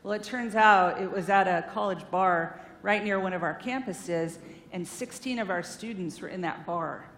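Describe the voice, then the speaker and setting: A woman's voice: moderate pace, a bit bright. A woman giving an informal, earnest sermon-style talk at a church, chatty and full of fillers, on a stage microphone. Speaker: guest speaker at a church, counselor. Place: large hall in a church. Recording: echoey hall, faint rumble.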